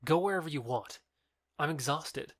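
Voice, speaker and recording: A man's voice: a little high, lively intonation, moderate pace, dry recording, clean studio sound. A man playing a belligerent young lover challenging his rival, in theatrical, indignant audio drama style. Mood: exhausted, threatening. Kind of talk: audio drama acting of modernized Shakespeare.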